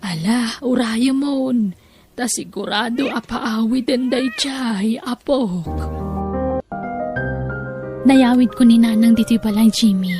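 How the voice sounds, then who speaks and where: A woman playing a caring, gently joking grandmother and wife in an Ilocano audio drama, tender and plaintive, with an Ilocano Filipino accent. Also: on the high side, slow, pauses often, music in the background. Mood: tender, concerned. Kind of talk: Ilocano radio-style audio drama acting.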